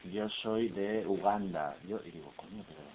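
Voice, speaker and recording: Deep-voiced man, hissy phone-like recording. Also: moderate pace. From Iberian Peninsula. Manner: rambling, full of tags and quoted asides. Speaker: film actor at a press conference. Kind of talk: casual, colloquial press conference talk. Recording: muffled, hissy phone-like audio.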